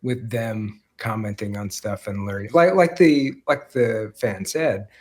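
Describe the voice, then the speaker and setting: A man with a slightly husky voice, a podcast guest talking casually and haltingly. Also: on the low side, darkish tone. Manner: halting, searching for words.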